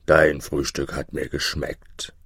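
A man voicing a huge, gruff, gluttonous giant in a storybook, with a booming, blustering, menacing and commanding manner. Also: little pitch movement, takes it slowly, a bit bright, no echo, clean studio sound, full character voice.

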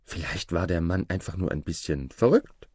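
A man's voice: sits low, expressive, normal speed, darkish tone, slightly rough, dry recording, clean studio sound. A man voicing a watchful, practical, doubting mother in a fairy tale, with a questioning delivery. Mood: sceptical.

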